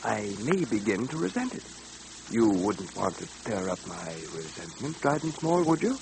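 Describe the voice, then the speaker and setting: An actor playing a mysterious caped man in an old radio drama, theatrical, formally courteous and quietly menacing. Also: fairly low pitch, big pitch swings, moderate pace, vocal fry. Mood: silky threat. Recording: noisy old radio recording, hum.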